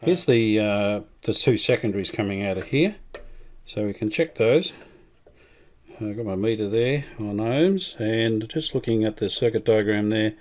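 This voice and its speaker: A middle-aged man, talking casually while he tests and repairs an old radio in his workshop, thinking aloud with many pauses and restarts. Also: on the low side, expressive, a little mellow. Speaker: radio restorer, channel host. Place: home workshop bench.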